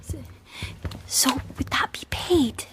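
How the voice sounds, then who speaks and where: A woman's voice: slow pace, a bit bright, in a whisper. An actress playing a painfully shy, anxious young unemployed woman in a radio play, hesitant and stammering. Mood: timid pleading. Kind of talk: naturalistic radio play acting. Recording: noisy background.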